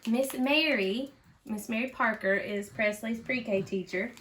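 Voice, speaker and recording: A woman reading a children's storybook aloud in an expressive, teacherly way, with chatty asides and questions to a young listener. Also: on the high side, unhurried, slight background noise. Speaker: parent reading a storybook aloud for a school read-aloud.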